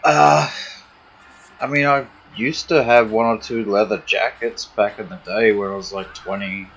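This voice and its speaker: A blunt male stream host with a bright, forceful tone who swears constantly. He grumbles and pushes back on his co-host's teasing in loose, casual chat. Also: lowish voice, steady tone, measured pace, vocal fry, husky, highs cut off, faint music behind.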